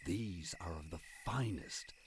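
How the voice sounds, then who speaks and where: A man's voice: sits low, slow, background noise. A man playing a suave, scheming older professor in an audio drama, with grand, formal, theatrical speech. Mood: suave, courteous.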